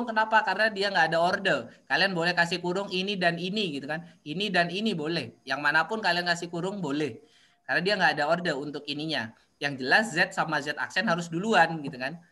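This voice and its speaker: A man's voice: a little high, bright, forceful tone. A man teaching an online university class, explaining step by step with many fillers and frequent checks that students follow. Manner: halting, frequent fillers and restarts, often checks understanding. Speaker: university lecturer. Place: online meeting. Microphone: video call.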